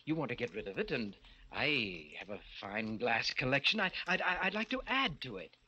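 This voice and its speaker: Dull old radio recording of an urbane, scoffing rich gentleman in a 1940s mystery play. His voice is bright and suave, with theatrical, widely swinging delivery. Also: medium pitch, sing-song, normal speed. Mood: coaxing. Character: urbane, scoffing rich man fascinated by a cursed goblet. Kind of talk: 1940s radio mystery acting, narrating and in scene.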